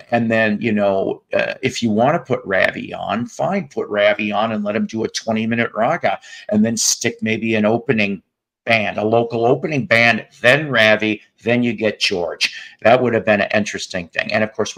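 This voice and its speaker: A man with a raspy, hoarse voice hosting a music podcast, joking and rambling through tangents in casual chat. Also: fairly low pitch, normal speed, a bit bright. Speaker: podcast host.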